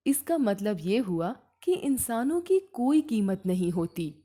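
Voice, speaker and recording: Woman as patient storybook sage, mellow and slow, teaching gently. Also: fairly high pitch, heavily acted.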